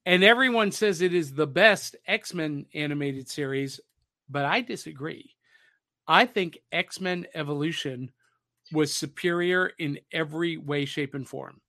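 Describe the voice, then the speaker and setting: A man co-hosting a podcast in casual, nostalgic pop-culture chat, often pausing to search for his words. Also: fairly high pitch, pushed and bright. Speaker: podcast co-host.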